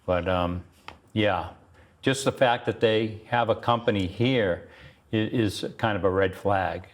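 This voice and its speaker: Plainspoken low-voiced male host. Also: measured pace. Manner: plainspoken, hesitant, with frequent ums. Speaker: talk show host. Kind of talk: casual political talk show. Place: local community TV studio.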